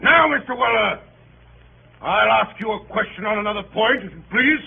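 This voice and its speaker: An actor playing a pompous, bullying barrister in a 1930s radio drama, theatrical, sarcastic and hectoring. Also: male voice, high voice, expressive, normal speed, gravelly. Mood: triumphant, probing. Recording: tinny, noisy 1930s radio broadcast.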